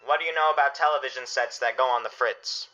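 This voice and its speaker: A man acting in a radio play as a gruff middle-aged father with a dry, dismissive wit. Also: medium pitch, quick pace, bright, forceful tone, dull audio, natural voice.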